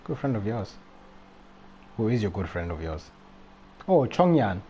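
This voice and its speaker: A man's voice: on the low side, very animated, measured pace, crowd noise. A young man commentating casually on a live game stream, slangy, chatty and reactive.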